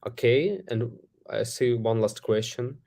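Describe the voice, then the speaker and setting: A man from Ukraine, a company CEO, answering audience questions in a live online session, speaking haltingly with many fillers and restarts. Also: medium pitch, a little mellow.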